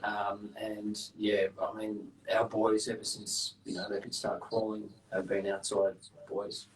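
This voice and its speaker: Low-voiced guest, relaxed. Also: male voice, measured pace, distant mic, slight background noise, rough recording. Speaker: guest, real estate agent. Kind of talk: casual interview answers.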